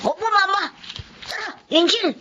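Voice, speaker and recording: An actor playing a dutiful, excitable young boy, a big brother, in a radio drama. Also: extremely high pitch, measured pace, background noise. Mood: obedient. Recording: dull lo-fi recording.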